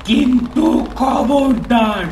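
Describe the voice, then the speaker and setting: A woman voicing a menacing ghost girl in a horror cartoon, with a deliberate, threatening delivery. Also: a little high, a little mellow. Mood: stern, relenting. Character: menacing ghost girl haunting a night ferry. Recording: echoing voice over noisy ambience.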